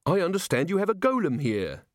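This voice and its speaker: A man voicing an earnest young male watch captain with a formal, courteous, measured manner in an audiobook. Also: high-pitched, wildly swooping pitch, no echo, studio quality, pitched above the performer's own voice. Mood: polite, brisk.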